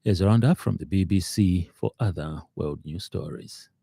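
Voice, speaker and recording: A man reads scripted radio news in a very dark, low voice. His delivery is formal and measured, with some halting, and the studio sound is dry and close. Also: creaky, husky, no echo. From Zambia.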